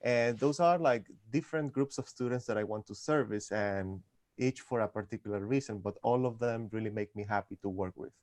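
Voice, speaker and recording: A man's voice: fairly low pitch, a little mellow, dry recording. A man from Venezuela, a physics tutor answering interview questions carefully, with some hesitations. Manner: careful, slightly halting, with "uh" fillers.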